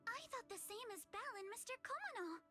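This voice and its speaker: A woman's voice: extremely high pitch, expressive, music in the background. A woman playing a polite, wistful young game character who speaks formally and carries guilt. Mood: polite, gentle. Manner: formal, gentle, measured. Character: polite, wistful young woman carrying guilt over her lost father. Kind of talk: dramatic game voice acting.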